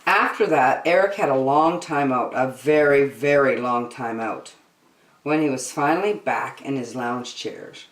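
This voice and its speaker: A woman reading a children's picture book aloud as host, deliberately phrase by phrase, in an echoey room. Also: rumbling low. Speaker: storytime host and reader. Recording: roomy echo.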